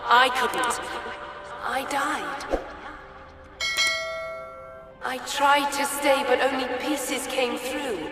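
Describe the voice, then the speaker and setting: A woman voicing a sorrowful, dazed female spirit in a video game, speaking in halting fragments. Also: high voice, steady tone. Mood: dazed, mournful. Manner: halting, fragmented phrases with long pauses. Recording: echoey, long reverb, music underneath.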